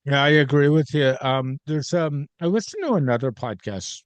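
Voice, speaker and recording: A man's voice: on the high side, lively intonation, normal speed, smooth, no echo. Agreeable male podcast host, dry sound. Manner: friendly, agreeable, conversational. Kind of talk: casual interview chat.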